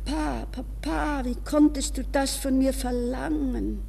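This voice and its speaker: Anxious young vienna girl, breathless pleading, high voice, hissy 60s radio play. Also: unhurried, creaky, warm tone, exaggerated voice. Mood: inner anguish. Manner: breathless, nervous, shifting between polite speech and inner thoughts. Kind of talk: 1960s German radio drama acting. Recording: reverberant, hissy 1960s radio recording.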